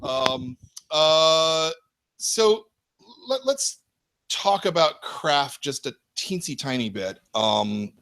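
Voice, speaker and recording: A man's voice: on the high side, lively intonation, extremely slow, a bit bright. A man hosting a live event, interviewing a guest with a big voice, stammering and repeating words. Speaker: host of a book club event.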